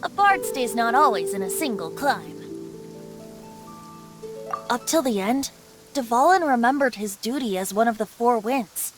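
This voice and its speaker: A voice actor playing a carefree young bard who is secretly a wind god, light, playful and lilting, over game music. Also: male voice, very high voice, expressive, unhurried, long pauses, fairly bright tone, full character voice. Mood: thoughtful. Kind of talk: video game voice acting. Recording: game cutscene with clear music.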